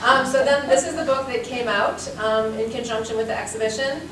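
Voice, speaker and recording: A woman's voice: fairly high pitch, a little fast. A woman in her forties, a curator giving a talk, telling anecdotes in a chatty way and laughing often. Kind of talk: lecture with anecdotes. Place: seminar room with audience. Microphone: room mic from far off. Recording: echoey room, distant mic, background hiss.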